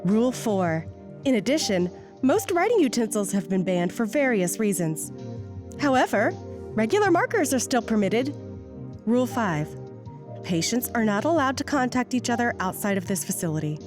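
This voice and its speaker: An actress plays a female announcer for an institutional orientation tape in an audio drama. She has a fairly high voice and a polished, saccharine sales delivery with something sinister under it. Music plays clearly behind her. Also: big pitch swings, normal speed. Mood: falsely cheerful. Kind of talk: scripted promotional video narration.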